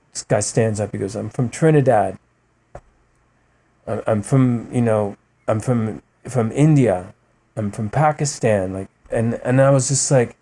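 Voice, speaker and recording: A man, a guest on a podcast, talking passionately in a rambling, casual way, often adding "man" and "you know" and acting out quotes as he tells stories. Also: lowish voice. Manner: animated storytelling with voiced quotes. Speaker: podcast guest, musician and writer. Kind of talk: casual interview talk.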